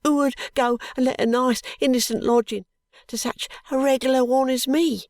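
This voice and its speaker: A reader voicing a destitute young street boy who cries out high and pleading. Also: steady tone, moderate pace, no echo, studio quality.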